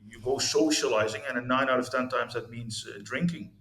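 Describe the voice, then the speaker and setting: A man's voice: medium pitch, brisk, fairly bright tone. A man in his fifties from the Netherlands, a guest telling his story in an interview, hesitant, with many restarts and fillers. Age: 50s. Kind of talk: candid interview talk about his life. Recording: echoey room.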